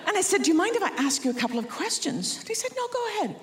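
A Scottish woman preaches from the stage of a large church, and her voice rings out with a long echo around the hall. She tells stories with gentle humor and acts out the lines of the people in them. Her pitch rises and falls in a lively way. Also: very fast, a faint crowd, slight background noise. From Scotland. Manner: warm anecdotal humor, acts out quotes. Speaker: guest preacher. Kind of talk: sermon storytelling.

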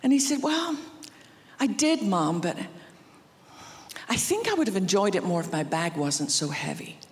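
A woman's voice: medium pitch, very animated, normal speed. Scottish woman preaching in a reverberant hall. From Scotland. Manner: warm anecdotal humor, acts out quotes. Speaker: guest preacher. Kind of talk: sermon storytelling. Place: large church auditorium.

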